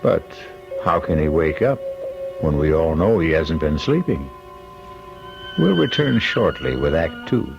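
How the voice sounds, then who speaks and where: A man hosting a radio mystery, narrating in an ominous, measured, dramatic way. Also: extremely low pitch, sing-song, gravelly. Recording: muffled old radio recording, music underneath.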